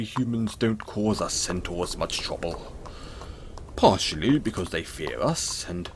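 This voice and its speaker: A man playing a kind, apologetic centaur in an audio roleplay, speaking gently and hesitantly to the listener in a reassuring manner. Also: on the low side, a little hiss or hum, natural voice. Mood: resigned, sheepish.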